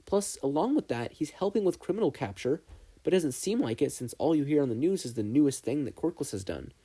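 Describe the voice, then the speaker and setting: A man's voice: fairly high pitch, lively intonation, fairly quick, mellow, slightly rough, pitched above the performer's own voice. A man voicing an earnest, nerdy teenage boy student who explains things eagerly and at length. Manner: eager, long-winded explaining.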